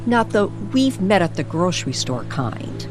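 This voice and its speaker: A woman narrating a scripted story in an old-time radio style, with deliberate, hushed storytelling phrasing. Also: lowish voice, very animated. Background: music bed.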